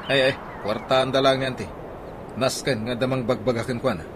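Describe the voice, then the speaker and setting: Man acting grown son, disapproving, scornful, mid pitch, radio drama, noisy background. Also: steady tone, a little fast, lightly acted.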